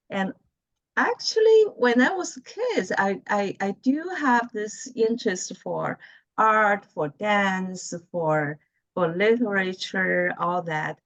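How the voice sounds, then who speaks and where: A woman from mainland China with a Chinese accent, chatting on a video call as a guest, pausing and searching for words. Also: mid-range voice, no echo. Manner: halting, searching for words, laughs often. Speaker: guest, lawyer. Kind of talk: casual video-call chat. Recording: muffled video call audio.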